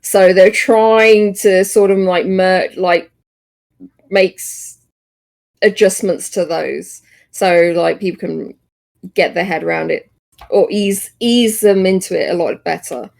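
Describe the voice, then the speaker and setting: A woman co-hosting a podcast, chatting casually about games in a hesitant, halting way. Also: on the low side, fairly even intonation, gravelly, a little mellow. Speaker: podcast co-host.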